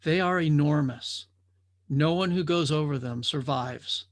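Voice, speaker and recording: Old male sage for a storybook parable, played by a male narrator: solemn, calm, terse, touched by sadness, very dry recording. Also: fairly high pitch, no room sound, lightly acted. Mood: solemn, grave. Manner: terse, grave, unhurried delivery.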